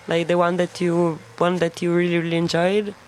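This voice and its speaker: A woman with an Italian accent who hosts a radio interview in English. She asks casual questions, using many fillers and quick yeahs. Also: lowish voice, monotone, darkish tone, slight background noise. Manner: friendly, fillers, quick affirmations. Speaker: radio host.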